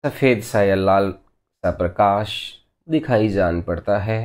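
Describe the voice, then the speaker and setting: A man guides a meditation in a lowish voice. His delivery is very slow, with short instructional phrases set apart by long pauses. He instructs gently and without any hurry. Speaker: meditation teacher.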